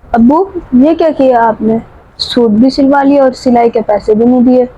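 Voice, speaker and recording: A woman's voice: on the high side, normal speed, close to an everyday voice. A woman playing a principled youngster who earnestly reproaches a parent in a moral drama. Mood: reproachful. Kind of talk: moral story drama acting. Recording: dull lo-fi sound, faint ambient noise.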